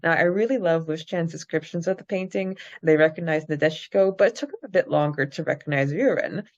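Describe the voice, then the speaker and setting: A woman's voice: low voice, a little fast, darkish tone, muffled recording. A woman co-hosting a podcast, casually recapping a story in a chatty, giggly manner. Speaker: podcast co-host. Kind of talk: casual book-club style recap chat.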